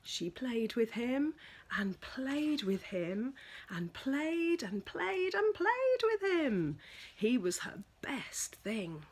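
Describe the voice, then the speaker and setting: Female storyteller, children's book, dramatic emphasis, husky breathy tone, unhurried. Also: high voice. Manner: animated, deliberate, dramatic emphasis for small children. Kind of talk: expressive children's picture-book reading.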